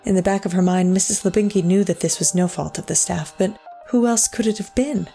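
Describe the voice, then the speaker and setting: An actress playing a solemn storyteller, narrating a scripted dramatic tale in a measured, literary way. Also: female voice, medium pitch, vocal fry, dark tone, faint music behind, natural voice. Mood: somber. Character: solemn female storyteller of a sad ghost tale.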